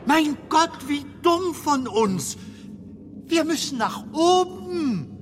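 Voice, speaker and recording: An actor in a German radio drama playing an eager, playful male film comedian lost in darkness, with a theatrical, expressive delivery. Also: very high-pitched, takes it slowly, noisy background, full character voice. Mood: urgent, excited. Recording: long hall reverb, music behind.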